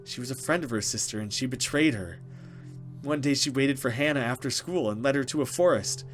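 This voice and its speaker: A man voicing a frightened, mysterious woman escaped from captivity in a horror game, halting and dramatic. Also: mid-range voice, faint music behind, lightly acted. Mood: grave, accusing.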